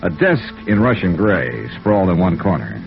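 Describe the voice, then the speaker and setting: An actor playing a wry, flirtatious hardboiled insurance investigator with clipped delivery in a 1950s radio noir drama. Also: male voice, sits low, sing-song, normal speed, gravelly, lo-fi sound, exaggerated voice. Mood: dry narration. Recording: band-limited vintage radio recording with music behind.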